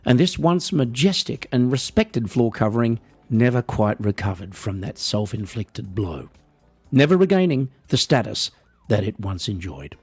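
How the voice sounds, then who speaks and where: An Australian man hosting a podcast, delivering a wry, rambling, mock-earnest comedic monologue with a husky voice. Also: mid-range voice, big pitch swings, normal speed, soft background music. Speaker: podcast host.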